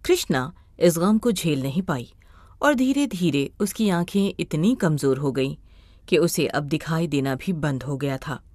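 A woman's voice: deep voice, a little mellow, dry recording, studio quality. A woman narrating a scripted moral story as its storyteller.

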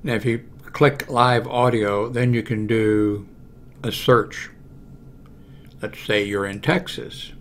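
An American man in his seventies giving a casual, unscripted computer walkthrough, haltingly thinking aloud. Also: on the low side, fairly even intonation, fairly bright tone, slight background noise. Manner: halting, thinking aloud while clicking through pages. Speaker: video blogger giving a how-to demonstration. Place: small room at home, indoors. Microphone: large desktop microphone close to the mouth.

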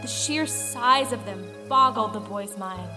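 A woman's voice: medium pitch, a little slow, lightly acted. An actress playing a female storyteller, narrating an old folktale in an audio play. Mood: storytelling. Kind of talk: scripted dramatic folktale narration. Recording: music behind the voice. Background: music.

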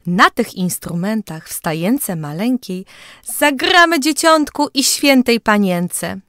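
Animated young angel musician played by a woman. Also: fairly high pitch, takes it slowly, dry recording, studio quality. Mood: cheerful. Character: cheerful young angel musician announcing carols. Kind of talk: children's storybook audio play.

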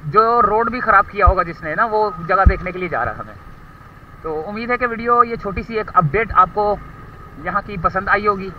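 A man with a Karachi Urdu accent talks in a high voice while riding a motorcycle through muddy streets, rambling and stopping to hunt for words. His voice comes from a helmet camera and sounds distant and dull, with faint traffic underneath. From Pakistan. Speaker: motorcycle vlogger. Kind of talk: casual ride-along commentary.

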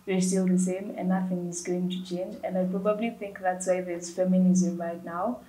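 A young woman with an East African accent speaks about her own experience as an interviewed artist. Her voice sits slightly low for a woman. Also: flat delivery, moderate pace, mellow, rough recording. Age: young adult. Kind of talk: personal account in interview. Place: indoor painting studio room. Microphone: lapel.

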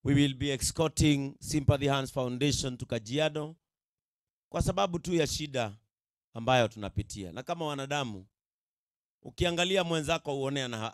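A middle-aged Kenyan pastor with a Kikuyu accent, preaching emphatically to a gathering and often repeating himself to check they follow. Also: male voice, mid-range voice, no echo, clean studio sound. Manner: emphatic, repetitive, checks in with the audience. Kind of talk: sermon to a live gathering. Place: outdoor tented event. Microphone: handheld microphone.

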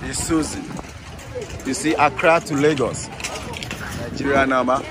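A man's voice: medium pitch, fairly even intonation, measured pace. A man with a Ghanaian accent casually explaining things as a tour guide, often repeating and rephrasing himself. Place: outdoors at a busy bus station. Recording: outdoor street noise. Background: street and vehicle noise.

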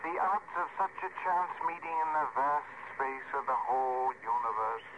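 Man acting a gentle alien spaceman, noisy old radio. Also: medium pitch, lively intonation, normal speed, lightly acted. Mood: wistful, regretful. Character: wistful, gentle alien spaceman heading home. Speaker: actor. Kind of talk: mid-century radio drama acting. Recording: very noisy, muffled vintage radio.

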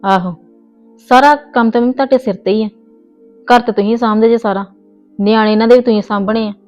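A woman's voice: fairly high pitch, steady tone, fast talker, darkish tone, faint music behind, natural voice. A woman playing a stubborn young wife in a family skit, scolding and insistent. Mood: soothing, scolding. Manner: scolding, insistent, voice rising in argument. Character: young married woman, stubborn, quick to argue. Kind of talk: scripted family comedy skit.

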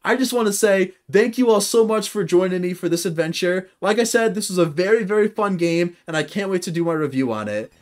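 Excitable young man with a high voice. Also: brisk, few pauses. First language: English. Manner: excitable, cheering loudly at victories. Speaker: gaming video host. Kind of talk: let's-play commentary. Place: indoor room at a desk.

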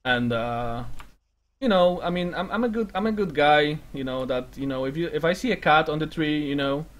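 A man's voice: on the high side, normal speed. A man roleplaying a job applicant in a game, hesitant and full of fillers, breaking into laughter. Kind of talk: in-character roleplay banter.

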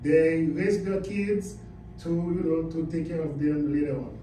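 A man's voice: a little high, fairly even intonation, measured pace, mellow. Haitian-born male seminar speaker, emphatic, quizzes the crowd; faint room hum behind. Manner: emphatic, asks the audience questions. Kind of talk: motivational business presentation. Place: carpeted indoor meeting room. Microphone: far camera, no visible mic. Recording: echoey room, camera far off.

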